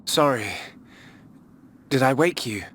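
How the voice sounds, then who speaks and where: An actor playing an earnest, caring young fantasy hero in a video game cutscene, speaking gently. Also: male voice, medium pitch, animated, slow, a little hiss or hum. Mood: concerned.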